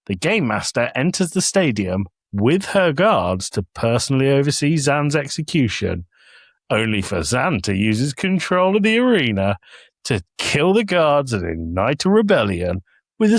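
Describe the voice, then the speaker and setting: A man's voice: mid-range voice, big pitch swings, measured pace, no room sound, clean studio sound. A man co-hosting a podcast, chatting casually and reading summaries aloud in a jokey, playful way. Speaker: podcast co-host.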